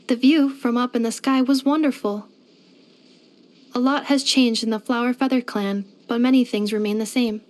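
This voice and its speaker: A woman's voice: fairly high pitch, close to an everyday voice. A woman voicing a polite, earnest female robot in a video game, speaking formally and precisely. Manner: formal, precise, measured delivery with clinical machine-like phrasing. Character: polite female robot maid, earnest, gentle and literal-minded. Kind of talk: scripted video game character voice lines.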